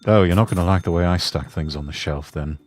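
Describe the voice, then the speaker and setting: A man's voice: sits low, fast, a little mellow. An English man chatting casually with wry jokes while playing a game with guests. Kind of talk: casual chat while gaming.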